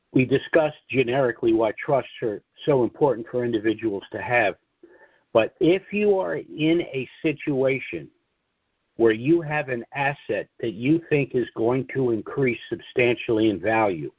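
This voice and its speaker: A man hosting a conference call, giving measured, explanatory commentary and his own opinions in an informal monologue. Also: medium pitch, slightly rough, dry recording. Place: conference call, dry close sound. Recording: dull, lo-fi conference call audio.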